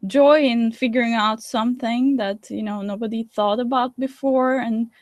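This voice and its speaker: A woman from Turkey, a scientist answering interview questions in a thoughtful, measured way with frequent fillers and restarts, heard over a video call. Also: on the high side, fairly even intonation, no room sound, clean studio sound. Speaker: guest scientist.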